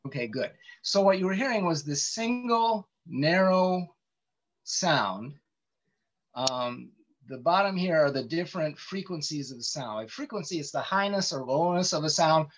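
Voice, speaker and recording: A man's voice: on the high side, animated, normal speed, fairly bright tone. A man giving an informal online lecture over a video call, explaining things with many ums and restarts. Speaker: presenter giving a webinar talk. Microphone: computer mic on a video call. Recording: dull lo-fi call audio.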